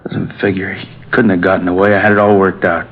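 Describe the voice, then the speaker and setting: Fast-talking cornered kidnapper. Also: male voice, sits low, level tone. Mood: disbelieving, insistent. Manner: insistent, repetitive. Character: cornered male kidnapper, incredulous and cocksure schemer. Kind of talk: 1950s radio crime drama acting. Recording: thin, phone-like vintage radio recording.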